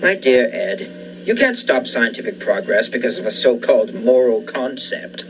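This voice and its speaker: A man's voice: mid-range voice, very animated, normal speed, close to an everyday voice. A young man playing an obsessive, defiant research scientist in the style of a 1940s radio thriller, earnest and insistent. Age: young adult. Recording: dull lo-fi recording with faint noise.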